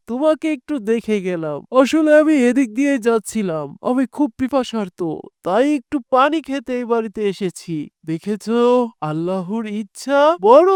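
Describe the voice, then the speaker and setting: An actor voicing a kindly old storyteller grandpa in a cartoon, genial and drawn-out, given to chuckling. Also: medium pitch, darkish tone, smooth, no echo, studio quality, natural voice. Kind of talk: animated cartoon dialogue.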